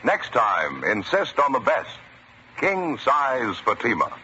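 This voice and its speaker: A man's voice: mid-range voice, wildly swooping pitch, slow, bright, forceful tone, slight background noise. A man announcing in 1950s radio style, with punchy, emphatic scripted delivery. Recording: muffled vintage radio recording.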